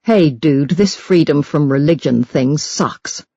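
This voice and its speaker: A woman's voice: low-pitched, takes it slowly, warm tone, no echo, dull audio, full character voice. Woman reading as a venomous religious zealot, close and dry. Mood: scornful, threatening. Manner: scornful, threatening, emphatic. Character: venomous, self-righteous religious hate-mail writer. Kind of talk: dramatised audiobook reading of letters.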